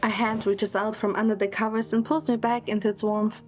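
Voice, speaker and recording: A woman's voice: medium pitch, little pitch movement, fairly quick, faint music behind. A woman narrating a scripted first-person romantic story in an audio drama.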